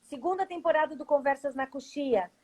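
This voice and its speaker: A woman's voice: sits high, brisk, dark tone. A Brazilian woman, a theatre director, talking casually as an interview guest on a video call. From Brazil, Curitiba.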